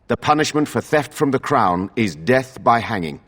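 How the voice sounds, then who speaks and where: An actor playing a stern guard captain in a video game, formal and accusing, in a reverberant hall. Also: male voice, mid-range voice, sing-song, moderate pace, a bit bright.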